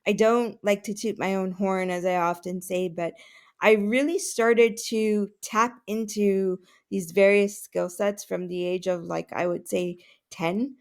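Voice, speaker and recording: A woman's voice: mid-range voice, fairly even intonation, a little slow. A woman whose first language is Russian, answering podcast interview questions earnestly, with many fillers and restarts. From Canada. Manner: earnest, with frequent fillers and self-corrections.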